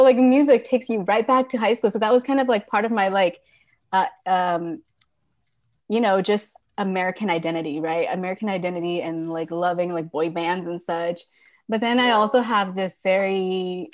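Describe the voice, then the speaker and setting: A woman guest speaking reflectively in casual conversation, with many fillers and restarts. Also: on the low side. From born in Thailand.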